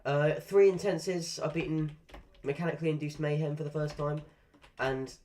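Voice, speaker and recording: A man's voice: a little high, fairly even intonation, normal speed, darkish tone, a little hiss or hum. Chatty man streaming a game. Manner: chatty, swears at the game, rambling asides. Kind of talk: casual live-stream commentary. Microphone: desk microphone.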